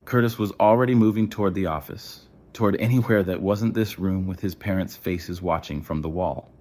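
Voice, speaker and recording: A man's voice: low voice, fairly quick, darkish tone. A man narrating a scripted audio story.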